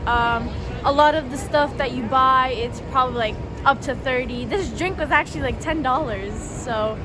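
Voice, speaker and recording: Female interviewee, high voice, matter-of-fact, loud traffic behind. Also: moderate pace. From Turkey, raised in Toronto. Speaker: passer-by interviewee. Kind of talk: casual street interview answer. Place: city street outdoors. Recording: loud street traffic noise.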